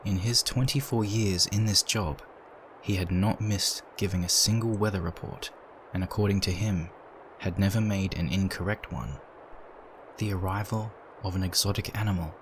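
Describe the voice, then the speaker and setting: A man reading a dark story aloud in a measured, dramatic narration. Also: low-pitched, a little hiss or hum, poor audio.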